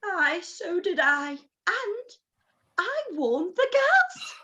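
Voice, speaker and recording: Hysterical period-drama mother: very high bright voice, swooping melodrama, self-pitying wails. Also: very animated, very slow, exaggerated voice. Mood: indignant self-pity. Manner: wailing, gasping, theatrical. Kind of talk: live-read period radio play.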